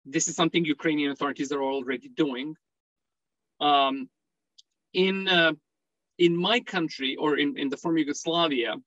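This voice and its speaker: A man's voice: a little high, fairly bright tone, no echo. Man from Serbia, muffled dry audio. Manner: measured, explanatory, with frequent restarts. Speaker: guest expert. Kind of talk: online panel discussion.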